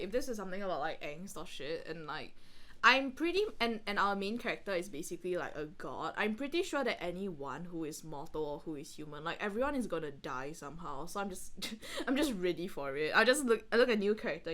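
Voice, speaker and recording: A woman's voice: lowish voice, sing-song, fairly quick, fairly bright tone. A woman, giving casual, rambling, giggly commentary as a podcast host.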